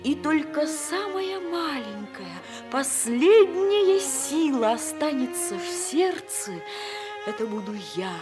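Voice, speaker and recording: A devoted young woman speaks very slowly in a high voice over prominent music. She is fervent and incantatory, in the declamatory style of a 1950s radio play. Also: big pitch swings, full character voice. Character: devoted young woman pleading for her beloved. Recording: vintage radio recording under prominent music.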